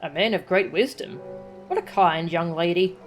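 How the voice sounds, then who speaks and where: A woman voicing an old male storyteller who loves telling tales, read aloud from game dialogue in a steady narrating tone. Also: fairly low pitch, normal speed, soft background music, lightly acted. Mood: storytelling.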